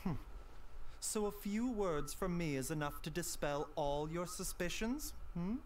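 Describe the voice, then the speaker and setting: Casting: sly, gentle young physician in a game, unhurried, formal, very expressive pitch. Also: male voice, slight background noise. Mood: sly, composed. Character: gentle, secretive young male doctor, courteous and sly. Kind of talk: video game voice acting.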